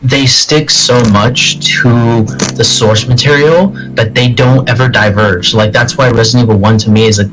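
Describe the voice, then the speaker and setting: Male podcast host, mid-pitched, conversational, clear music bed underneath. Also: fairly even intonation, moderate pace, hardly any pauses, poor audio. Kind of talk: casual panel discussion. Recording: music behind the voice.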